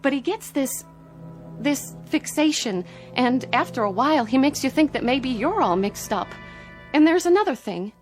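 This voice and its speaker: Higher-voiced, worried military wife. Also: female voice, expressive, moderate pace. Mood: anxious, pleading. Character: worried, uneasy military officer's wife in a sci-fi drama. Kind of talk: radio drama acting. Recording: music bed underneath.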